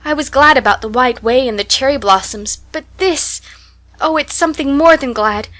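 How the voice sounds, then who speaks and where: A woman's voice: on the high side, a little fast, a bit bright, natural voice. A woman voicing an eager, talkative orphan girl who speaks in a breathless, imploring, dreamy way in an audiobook. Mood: overjoyed. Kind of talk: audiobook character voice.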